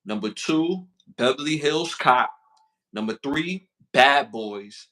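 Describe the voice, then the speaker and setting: Bright-voiced Brooklyn man chatting. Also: mid-range voice, expressive, slow pace. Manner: slangy, repeats himself, reads out list questions. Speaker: podcast co-host. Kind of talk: casual podcast chat.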